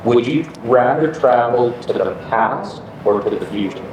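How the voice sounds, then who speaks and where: A man's voice: mid-range voice, expressive, measured pace. A man interviewing guests with brief, upbeat questions. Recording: roomy, distant, noisy.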